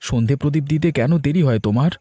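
A man's voice: mid-range voice, very fast, mellow, dry recording, close to an everyday voice. A man voicing a middle-aged, querulous but homely village uncle and husband in a cartoon story. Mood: grumbling. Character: grumbling, hospitable village uncle, bickering husband. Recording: background music bed.

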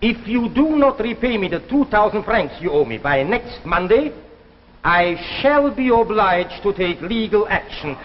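A stern middle-aged landlord in 1950s television drama. He is clipped and sneering, with a high, very lively pitch. The vintage recording is reverberant. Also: measured pace. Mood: cold, threatening. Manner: clipped, formal, imperious. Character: stern, sneering male landlord demanding his debt. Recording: echoing, hissy, dull old TV soundtrack.

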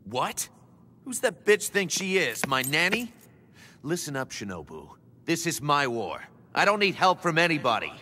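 An American actor playing a cocky, irritable, foul-mouthed young assassin in a video game, with slangy delivery. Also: male voice, big pitch swings, normal speed. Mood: defiant, annoyed. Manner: brash, slangy, exasperated. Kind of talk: video game cutscene acting. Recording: game audio with hall reverb, rumbly noise.

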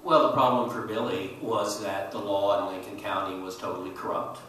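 An older man speaking as a historian on a panel, telling history to an audience in a measured, halting way. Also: lowish voice, steady tone, fairly bright tone. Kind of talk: storytelling panel talk to an audience. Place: large auditorium stage. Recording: roomy echoey hall, distant mic, faint noise.

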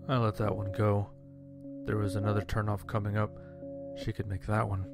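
A man narrating a scripted first-person horror story in a measured, dramatic way. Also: on the low side, little pitch movement. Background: music.

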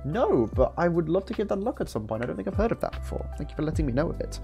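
A man in his twenties in a chatty, expressive livestream storytelling style, speaking close to a studio microphone in a small room. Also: fairly high pitch, rapid-fire, vocal fry. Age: 20s. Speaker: streamer reading a book aloud. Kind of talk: storytelling and casual livestream chat. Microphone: close studio microphone on an arm, with headset. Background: background music.

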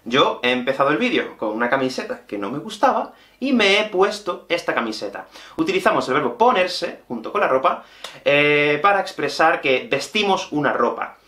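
A man in his thirties with a Peninsular Spanish accent gives a language lesson straight to his viewers. His voice is fairly high and very lively in pitch, explaining things expressively. It is recorded in an echoey small room. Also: normal speed. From Spain. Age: 30s. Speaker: Spanish teacher and video host. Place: small indoor room with whiteboard and bookshelf.

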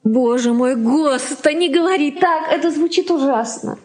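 An actress playing a thoughtful, weary middle-aged wife and mother in a Soviet radio play, speaking earnestly and deliberately. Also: high voice, a little mellow, close to an everyday voice. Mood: earnest, pained. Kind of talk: Soviet radio drama acting.

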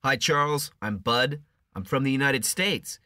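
A woman's voice: very deep voice, unhurried, bright, forceful tone, no echo, studio quality, voice pushed down, exaggerated voice. A woman voicing a cheerful young man from the United States in a coursebook dialogue.

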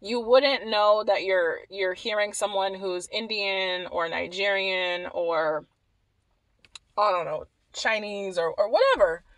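A young woman from Maryland talks to the camera in a small room, animated and wry. Her voice sits in a middle register, and she doubles back on phrases to drive a point home. The recording is close and dry, with no room sound. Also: medium pitch, slow, dry recording, poor audio. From Maryland, USA. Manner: animated, wry, repeats herself when emphasizing, laughs at points. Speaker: vlogger. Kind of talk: casual commentary to camera. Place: small indoor room against a plain wall.

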